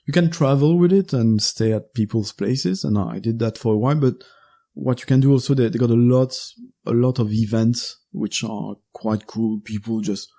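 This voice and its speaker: A man in his thirties with a Belgian French accent, talking as a guest on a podcast interview in English, his second language. His voice is gravelly and mellow, and he speaks haltingly, stopping often to find the right word. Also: medium pitch. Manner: halting and searching for words. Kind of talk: casual conversational interview.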